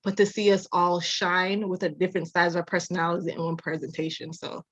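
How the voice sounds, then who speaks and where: A woman in her thirties, from Jamaica by way of Massachusetts, talks through her life in an unhurried, reflective way and laughs often. Her voice sits a little low and comes across dry and slightly muffled, as on a lo-fi recording. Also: level tone, no echo. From Jamaica, raised in Massachusetts. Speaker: architecture designer, guest. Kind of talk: casual interview storytelling.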